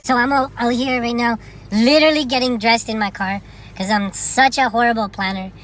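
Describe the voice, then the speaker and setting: Hurried woman chatting in her car. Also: fairly high pitch, steady tone, a little hiss or hum. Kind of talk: selfie-style vlog clip. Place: inside a parked car.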